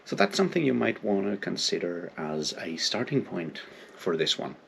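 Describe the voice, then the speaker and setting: Low, creaky-voiced man discussing books. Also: sing-song, a little hiss or hum. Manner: hesitant, halting, thinking aloud. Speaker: book reviewer, video host. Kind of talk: informal book discussion, unscripted.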